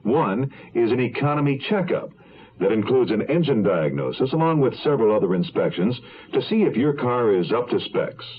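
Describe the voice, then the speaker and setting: A man's voice: medium pitch, big pitch swings, moderate pace. A man reading a scripted 1970s radio advertisement as a commercial announcer. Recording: thin, phone-like old radio broadcast.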